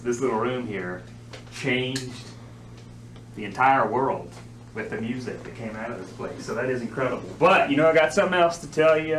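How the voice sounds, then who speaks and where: A man's voice: medium pitch, a bit bright. Echoey, distant man giving showy tour patter. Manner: emphatic, showman-like, dramatic pauses. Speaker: tour guide. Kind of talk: theatrical, practised tour patter. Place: small indoor studio room with onlookers. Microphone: visitor's camera from across the room. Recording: echoey room from far off, faint noise.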